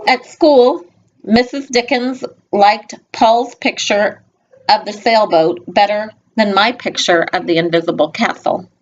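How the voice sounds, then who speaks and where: A woman reading a picture book aloud to young children, expressive, with informal, gently instructive asides spoken directly to them. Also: mid-range voice, measured pace. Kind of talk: read-aloud storytelling with chatty asides. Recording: dull lo-fi home video sound.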